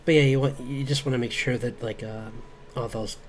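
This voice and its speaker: A man streaming as he draws, chatting casually in a halting, rambling way as an artist. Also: medium pitch, steady tone, a little hiss or hum. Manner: halting, rambling, chuckling asides. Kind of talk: casual livestream chat while drawing. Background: background music.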